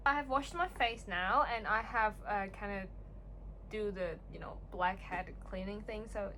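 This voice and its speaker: A woman's voice: medium pitch, a little slow, fairly bright tone, slight background noise. A young woman whose first language is Cantonese, chatting casually and self-deprecatingly at home while doing a skincare routine for her vlog. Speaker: beauty vlogger. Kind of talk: casual get-ready-with-me chat. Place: home room.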